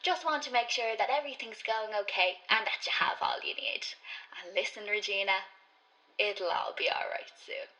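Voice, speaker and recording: A woman's voice: mid-range voice, bright, forceful tone, lightly acted, rough recording. A woman with an Irish accent playing a cheery, officious club organiser who lists reassuring advice in a radio play voicemail. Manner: reassuring, listing advice briskly.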